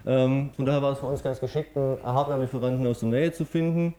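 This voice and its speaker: A man's voice: mid-range voice, steady tone, fast, dark tone. A middle-aged man with a Swabian accent giving a matter-of-fact technical conference presentation, with frequent fillers. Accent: Swabian German. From Swabia, Germany. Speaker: conference speaker.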